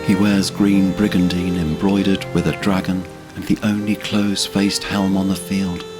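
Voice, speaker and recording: A man's voice: low-pitched, flat delivery, normal speed. A man giving solemn scripted narration for a fantasy audio drama. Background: orchestral string music.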